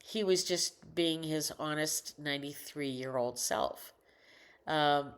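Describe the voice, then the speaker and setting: An older woman with a deep, low alto voice rambles into a desk microphone at home. She keeps stopping, restarting and correcting herself as she chats in a loose personal monologue. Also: clean tone. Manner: rambling, halting, many restarts and self-corrections. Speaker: vlogger.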